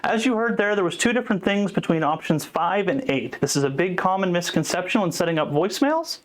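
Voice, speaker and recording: A man presenting an informal instructional walkthrough in a plain, explanatory manner. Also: high-pitched. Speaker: support tutorial presenter.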